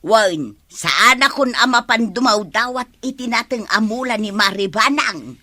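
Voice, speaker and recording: A gossipy Ilocano housewife in a radio comedy, a skeptical neighbour. Her voice is bright and lively, swinging widely in pitch, at an unhurried pace. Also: full character voice. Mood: amused. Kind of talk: comedic radio drama acting.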